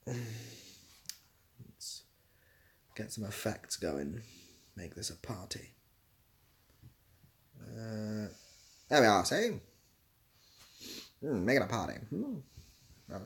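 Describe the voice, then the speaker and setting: Casting: young male streamer with a lowish voice, dry and self-mocking, rambling casually as he answers viewers. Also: very animated, slow pace, pauses often. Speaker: livestream host. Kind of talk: casual livestream chat, reading out viewer comments.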